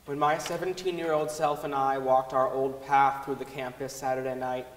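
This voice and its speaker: A man's voice: medium pitch, flat delivery, moderate pace. A young man giving a staged dramatic reading in a large concert hall. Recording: echoey concert hall with long reverb.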